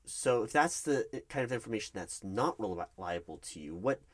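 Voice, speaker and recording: A man acting as a nervous, polite recruiting manager in a one-sided ASMR job interview roleplay, speaking haltingly and questioningly. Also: lowish voice, lively intonation. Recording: clear background noise, keyboard typing. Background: loud computer keyboard typing and clicks.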